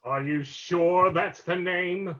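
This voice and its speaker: A man playing a menacing, mocking invisible crime fighter in an old-time radio drama, taunting deliberately, on a lo-fi recording. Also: sits high, steady tone, a bit bright. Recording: dull lo-fi recording.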